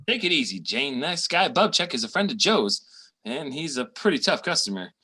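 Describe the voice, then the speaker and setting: Radio comedy: someone playing a street-smart loafer guy, breezy and raspy. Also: mid-range voice, big pitch swings, normal speed, pushed and bright, exaggerated voice. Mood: breezy, wheedling. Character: lazy, fast-talking male boyfriend, shady schemer. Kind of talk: old-time radio comedy acting.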